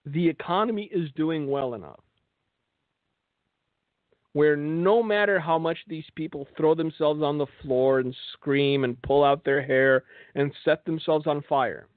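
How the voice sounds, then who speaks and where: Male religious broadcast host, slightly high voice, sardonic monologue with pointed rhetorical questions, thin phone-like sound. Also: a little slow. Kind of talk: political and religious monologue commentary. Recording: thin phone-like band, echoey room.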